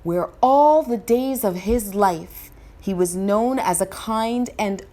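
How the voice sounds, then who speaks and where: A woman reading a children's story aloud in expressive scripted narration. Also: medium pitch, slow.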